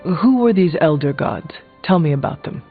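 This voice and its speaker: An actor playing a stern, resolute warrior hero in a video game, with a formal, blunt and solemn way of speaking. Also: male voice, on the high side, lively intonation, moderate pace, natural voice. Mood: urgent. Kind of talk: video game character dialogue. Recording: thin phone-like audio, music behind.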